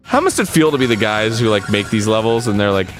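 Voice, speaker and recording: A man's voice: fairly low pitch, very animated, fast talker, faint music behind. A man chatting casually and jokingly in loose, informal speech.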